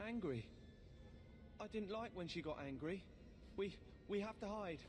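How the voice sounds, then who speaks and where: A man's voice: high voice, lively intonation, a little slow, soft background music. An actor playing a timid, sad young orphan boy in a video game, hesitant and fearful. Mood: frightened. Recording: reverberant, noisy game audio.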